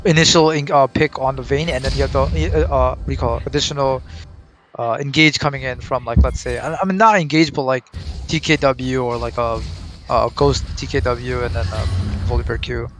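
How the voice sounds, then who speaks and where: A man's voice: medium pitch, fairly even intonation, brisk, fairly bright tone, soft background music. A man doing casual color commentary on an esports match, whose first language is Arabic, rambling and hunting for words with many fillers. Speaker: esports caster.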